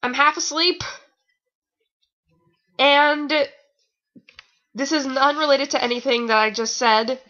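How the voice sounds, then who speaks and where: High, bright young woman, lo-fi recording. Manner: snarky, rambling, informal. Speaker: fan-fiction reader and commentator. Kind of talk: casual read-aloud with sarcastic commentary. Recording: dull lo-fi home recording.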